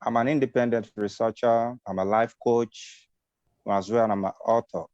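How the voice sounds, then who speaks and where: A man, a life coach giving a measured, concise self-introduction on a video call. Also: medium pitch, fairly even intonation, a little mellow, no echo, muffled recording. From Nigeria.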